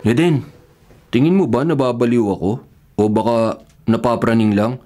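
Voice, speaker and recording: A man's voice: mid-range voice, animated, moderate pace, dark tone, close to an everyday voice. An actor playing an earnest, troubled young male student in a Filipino radio horror drama. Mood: worried, earnest. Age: young adult.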